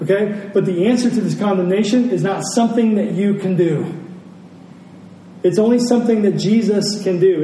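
Man, earnest preacher, soft mellow tone, sermon delivery, repeated key phrases, roomy distant recording. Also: sits high. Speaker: pastor preaching. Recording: roomy, distant pickup with faint noise.

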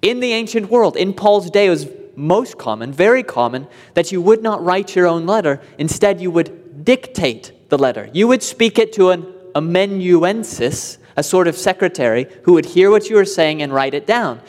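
A man preaching in a church, his fairly high voice carrying through a long, echoing reverb. He explains step by step and climbs to earnest emphasis when a point matters. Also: animated, normal speed, few pauses. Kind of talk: expository sermon.

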